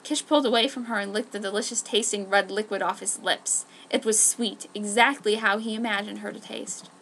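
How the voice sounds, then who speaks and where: A woman's voice: mid-range voice, fairly even intonation, fairly quick, fairly bright tone, slight background noise. A woman giving a dramatic scripted reading as an amateur story narrator. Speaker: amateur fan fiction narrator.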